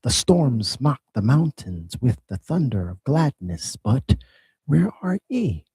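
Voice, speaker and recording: A man reading the part of unseen spirits who greet the dawn, lyrical and husky. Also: medium pitch, big pitch swings, slow, dark tone, no room sound, exaggerated voice. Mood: rapturous.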